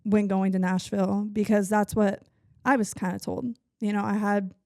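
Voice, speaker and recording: A woman's voice: medium pitch, little pitch movement, moderate pace, gravelly, darkish tone, no echo, studio quality. A woman with a Southern accent, a singer-songwriter guest chatting casually, with lots of "like" and "you know". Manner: chatty, lots of likes and backchannel. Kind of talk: casual chat.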